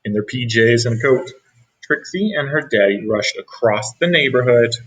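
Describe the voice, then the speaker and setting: A man reading a picture book aloud as a teacher, pausing for asides to young listeners. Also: mid-range voice, lively intonation, fairly bright tone, slightly rough, poor audio. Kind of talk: read-aloud storytelling.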